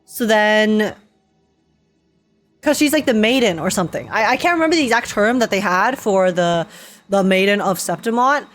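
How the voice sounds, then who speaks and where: A young woman giving casual, excitable game reaction commentary, giggly and thinking aloud as she goes. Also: medium pitch, moderate pace. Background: faint game music.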